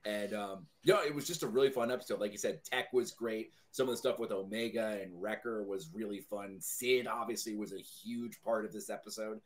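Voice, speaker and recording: Slightly low-voiced man, casual podcast guest. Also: a little fast, hardly any pauses. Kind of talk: casual fan discussion.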